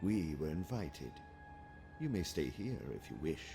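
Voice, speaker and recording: An actor playing a calm, calculating alien officer in an audio drama, speaking formally and precisely. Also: male voice, low voice, a little slow, full character voice. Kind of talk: audio comic drama acting. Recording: long reverb, music behind.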